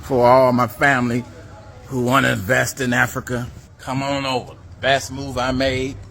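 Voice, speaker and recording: A man vlogging from his patio, relaxed and preachy, urging viewers with "y'all" and "baby". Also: medium pitch, normal speed, creaky. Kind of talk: casual selfie vlog. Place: outdoor patio.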